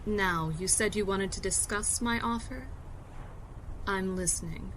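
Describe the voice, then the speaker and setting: An actress playing a commanding fleet security captain in a science fiction audio drama, clipped and falsely polite. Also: female voice, mid-range voice, unhurried, a little hiss or hum, natural voice, rough recording. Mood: smug. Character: commanding fleet security captain, woman, smug and coolly menacing.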